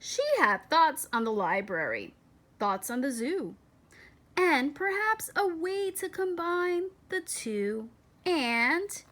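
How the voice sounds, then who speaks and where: A woman reading a children's picture book aloud in a deliberate, expressive storytelling manner. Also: sits high. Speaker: storybook reader.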